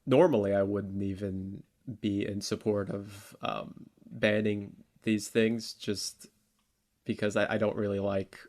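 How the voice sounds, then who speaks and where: A man co-hosting a podcast, discussing politics casually in a halting, informal way and often repeating words. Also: on the low side, level tone, a little mellow. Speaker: podcast co-host debating politics.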